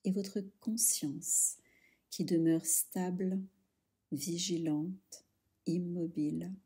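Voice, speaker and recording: A woman leading a guided yoga nidra relaxation, soothing and hushed, speaking in short instructional phrases with long pauses. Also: on the low side, fairly even intonation, slightly rough.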